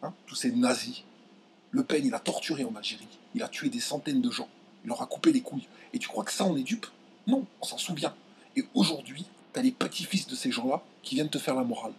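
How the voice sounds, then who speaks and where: A young man from France ranting straight to his viewers, indignant and heated, stumbling over his words and pushing his voice up high when he gets angry. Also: fairly quick, slight background noise. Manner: indignant, voice jumps high when outraged, many restarts. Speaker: video commentator. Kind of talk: heated direct-to-camera rant.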